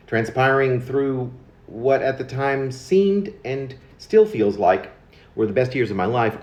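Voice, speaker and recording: A muffled, roomy recording of a man in his fifties reciting autobiographical poetry. His delivery is halting and very animated in pitch, with breaks mid-phrase and weight on certain words. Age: 50s. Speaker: poet. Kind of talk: reading own confessional autobiographical poem aloud. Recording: dull lo-fi recording in echoey room.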